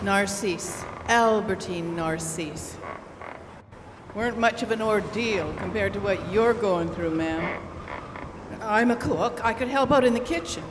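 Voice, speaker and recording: A woman playing a brash, rough-talking frontier cook with slangy, defiant speech in an old-time radio adventure. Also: medium pitch, measured pace, close to an everyday voice. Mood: plucky. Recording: reverberant hall, clear background noise.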